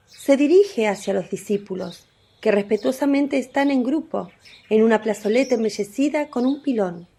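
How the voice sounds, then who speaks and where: A woman's voice: fairly high pitch, dark tone, husky. A woman narrating a radio drama in a scripted storytelling style.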